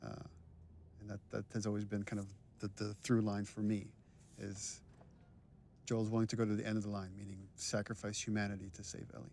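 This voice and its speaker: Low, creaky-voiced man, thoughtful. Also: monotone, a little fast, darkish tone, slight background noise. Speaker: game director and writer. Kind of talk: casual director's commentary.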